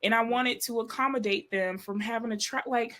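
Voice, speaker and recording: A woman's voice: a little high, a little fast. A woman in her thirties co-hosting a podcast, telling a personal story in casual chat and acting out what others said. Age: 30s. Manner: storytelling, expressive, quotes people in voices. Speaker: podcast co-host.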